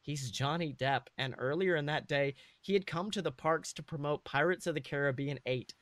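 Bright-voiced man, storytelling podcaster. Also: on the high side, moderate pace, no echo. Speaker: podcast co-host. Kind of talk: dramatic reading of own comic fan fiction.

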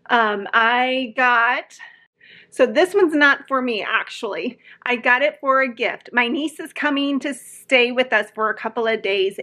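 A woman's voice: fairly high pitch, fairly bright tone. A woman in her forties chatting casually to a phone held at arm's length in her living room, friendly and rambling with fillers. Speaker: home decor vlogger. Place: living room at home, indoors. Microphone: phone camera at arm's length.

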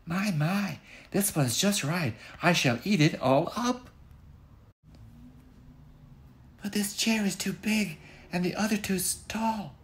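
A man voicing a bold, curious little golden-haired girl in a prim falsetto. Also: lively intonation, takes it slowly. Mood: pleased.

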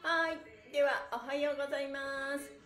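A woman's voice: sits high, fairly even intonation, moderate pace, fairly bright tone, faint music behind. A middle-aged woman, cheerful and encouraging, leading a live stretching lesson in polite, playful, exclamatory speech from a small room. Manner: polite, with playful honorifics for body parts. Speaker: stretching instructor and stream host. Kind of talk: live exercise lesson to viewers. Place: small bare-walled room indoors. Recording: roomy indoor echo.

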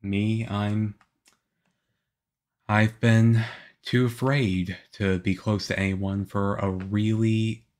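A man playing a shy, moody, lonely young half-vampire boyfriend in an ASMR roleplay, with a hesitant, halting, earnest delivery. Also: fairly low pitch.

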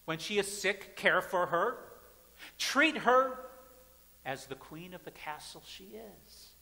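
High-voiced pastor preaching in a reverberant church. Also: male voice, animated, takes it slowly, vocal fry. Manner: emphatic preaching, repeating phrases, swings between loud and soft. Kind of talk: sermon. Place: large church sanctuary. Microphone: pulpit mic, far camera.

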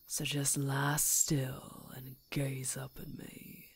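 A woman voices a female werewolf on the hunt, very low and husky. The delivery is extremely slow and breathy, mostly whispered in ASMR roleplay style. The beast sounds menacing and gloating, savoring control and teasing as it threatens. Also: completely flat delivery, no echo, heavily acted.

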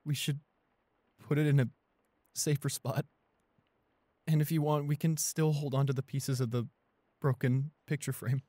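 A man playing a shy, anxious, devoted young boyfriend in an intimate roleplay audio, speaking softly and hesitantly with small stammers. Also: mid-range voice, no room sound, natural voice. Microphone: close studio condenser microphone.

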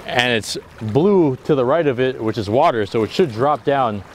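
A young man vlogging while fishing from a rocky sea jetty, explaining his rig casually and exclaiming loudly when fish bite. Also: mid-range voice, moderate pace. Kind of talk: casual solo vlog narration. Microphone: action camera, near and far. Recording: outdoor wind and water noise.

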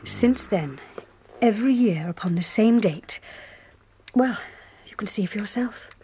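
An actress playing a courteous, composed young English governess in a radio drama, speaking politely. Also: female voice, mid-range voice, lively intonation, a little slow, warm tone, a little hiss or hum, natural voice. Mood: composed, earnest.

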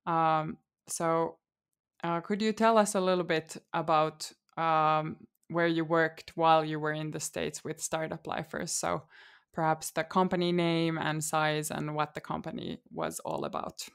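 Low-voiced woman hosting a podcast. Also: gravelly, smooth. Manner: measured, asks with fillers and restarts. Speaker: podcast host. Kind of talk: scripted intro then interview questions.

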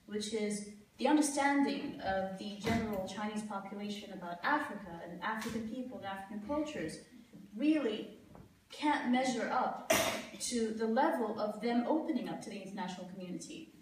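A young woman from Somalia talking on a panel, telling stories and quoting others in an animated way. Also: mid-range voice, moderate pace, background noise. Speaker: panel speaker. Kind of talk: panel discussion. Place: large hall on a stage. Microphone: far camera. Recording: echoey hall, taped from far off.

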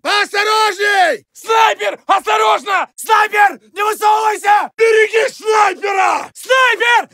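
Video game WWI Russian soldier: alarmed, very high-pitched urgent shouting, forceful edge. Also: male voice, slow, hardly any pauses, no room sound, clean studio sound, a faint crowd, heavily acted. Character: alarmed Russian First World War soldier warning comrades. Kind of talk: shouted battlefield alert barks.

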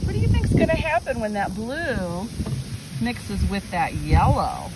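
Female early-years teacher, unhurried and very animated, exclaims and prompts children with questions; windy, noisy outdoor recording. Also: mid-range voice. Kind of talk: hands-on demonstration for children and parents. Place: outdoors in wind and sun.

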